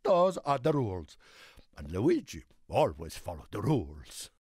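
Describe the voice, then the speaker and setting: An actor playing an excitable, friendly little Italian car in a video game, with an Italian accent and a theatrical, effusive manner. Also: male voice, on the low side, a little slow, husky, no echo, studio quality, exaggerated voice. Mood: fretful, pleading. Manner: theatrical, effusive, speaks of himself in third person. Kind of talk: video game character voice lines.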